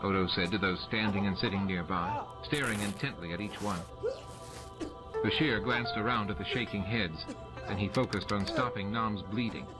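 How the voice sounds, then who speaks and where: A man's voice: lowish voice, normal speed, poor audio. A man reading a science fiction novel as scripted audiobook narration. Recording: music and effects under the voice. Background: music and sound effects.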